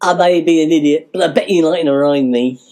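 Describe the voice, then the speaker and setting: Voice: mid-range voice, brisk, close to an everyday voice. A performer playing a silly, eager, camp applicant for a pantomime horse job in radio sketch comedy. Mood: gleeful. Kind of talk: sketch comedy in the manner of 1950s British radio. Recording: clear background noise.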